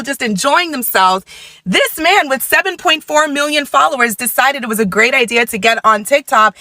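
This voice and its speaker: A woman's voice: high voice, bright, forceful tone, no echo. A woman giving emphatic social media commentary, repeating points for effect in an exasperated way. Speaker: commentary creator.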